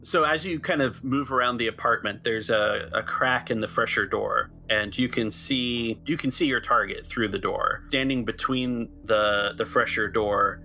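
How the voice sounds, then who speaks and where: A man's voice: medium pitch, normal speed, hardly any pauses, sharp tone. A man narrating an improvised tabletop game as game master, often repeating words as he thinks. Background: faint music.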